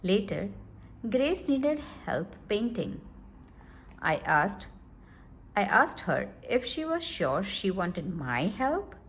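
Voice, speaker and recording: A woman reading a children's storybook aloud in an expressive, deliberate manner. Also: mid-range voice, slight background noise. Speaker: storybook reader.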